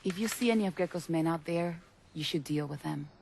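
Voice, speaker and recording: An actress playing a cool, businesslike underworld woman in a video game, measured and quietly firm. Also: sits low, gravelly. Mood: cool, guarded. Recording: faint background noise.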